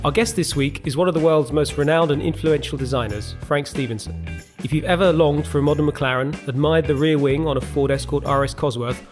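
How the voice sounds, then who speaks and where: A man hosting an interview podcast, giving prepared introductions and questions. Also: a little high.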